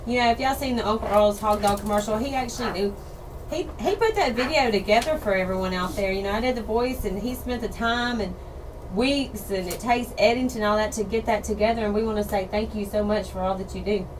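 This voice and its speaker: A woman co-hosting a radio outdoors show in casual chat, slightly hoarse from losing her voice. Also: mid-range voice, a little fast. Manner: chatty, laughs easily, repeats details. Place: radio studio. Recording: distant mic with background noise.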